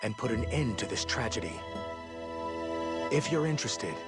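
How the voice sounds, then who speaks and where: An actor playing a grave, determined militia captain in a fantasy game, speaking in a solemn, measured way. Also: male voice, mid-range voice, expressive, close to an everyday voice. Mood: resolute. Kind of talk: dramatic scripted game narration. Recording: loud music under the voice.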